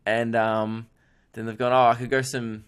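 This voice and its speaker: A man's voice: mid-range voice, flat delivery, moderate pace, smooth. A man, a comedian hosting his podcast in casual chat, joking, rambling and swearing freely. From Australia. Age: 20s.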